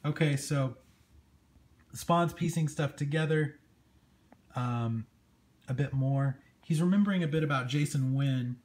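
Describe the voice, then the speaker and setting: A man's voice: a little high, unhurried, long pauses, darkish tone. A man hosting a casual comic book review, talking in a conversational, enthusiastic way as he goes through the pages. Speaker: comic book show host. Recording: clearly noisy amateur recording with room ambience.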